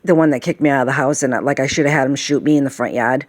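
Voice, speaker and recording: A woman's voice: extremely low pitch, very fast, no room sound, clean studio sound. Sighing, rambling woman in her late fifties. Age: late 50s. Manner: sighing, meandering, peppered with "okay?" and "all right?". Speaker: vlogger. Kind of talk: rambling casual monologue.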